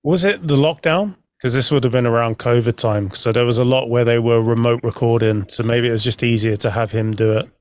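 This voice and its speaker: A man's voice: mid-range voice, fairly quick, few pauses, no echo, studio quality. A man talking casually as a panellist on a podcast. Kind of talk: casual chat.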